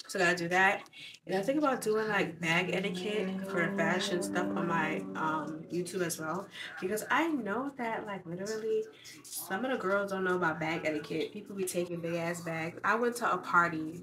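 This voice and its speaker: A woman's voice: fairly low pitch, brisk, a bit bright. A young woman, congested from covid, chatting bluntly and swearing freely in a casual vlog. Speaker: vlogger.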